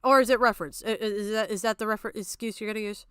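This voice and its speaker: A woman's voice: mid-range voice, fairly even intonation, very fast, bright, forceful tone, smooth, no room sound, clean studio sound. A woman giving off-the-cuff, argumentative stream commentary in a blunt, exasperated, sweary manner. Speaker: streamer reacting to a video.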